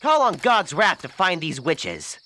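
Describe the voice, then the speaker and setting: A man's voice: a little high, huge pitch swings, measured pace, sharp tone, slight background noise, heavily acted. An actor playing a menacing, zealous witch-hunting thug in an audio drama.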